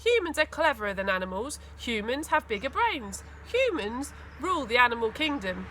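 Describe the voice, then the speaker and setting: A woman reading a children's story aloud in an expressive, dramatic way. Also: a little high, moderate pace, slight background noise. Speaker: school teaching assistant reading aloud.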